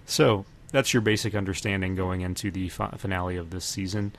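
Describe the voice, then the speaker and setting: A man hosting a podcast, casually discussing a TV show in halting, thought-out phrases. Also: low voice, steady tone. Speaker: podcast host. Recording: noticeable background noise.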